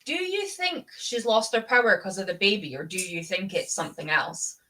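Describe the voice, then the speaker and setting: A woman's voice: mid-range voice, very animated, moderate pace, bright, forceful tone. A woman with a Scottish accent chatting casually and fannishly about books as host of a live readalong, with the odd swear word. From Scotland. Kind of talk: casual book chat.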